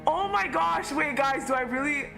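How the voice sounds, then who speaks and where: A woman's voice: high-pitched, moderate pace, vocal fry, pushed and bright. A woman streamer giving live gaming commentary to her chat, exclamatory and giggly, often repeating herself as she hesitates. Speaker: game streamer. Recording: game music behind the voice.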